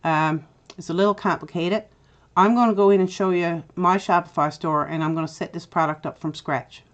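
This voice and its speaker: A middle-aged woman with a Newfoundland accent, chatty and step-by-step as she hosts a software tutorial. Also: fairly low pitch, fairly even intonation, moderate pace, lo-fi sound. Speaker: tutorial host. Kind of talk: screen-recorded software tutorial.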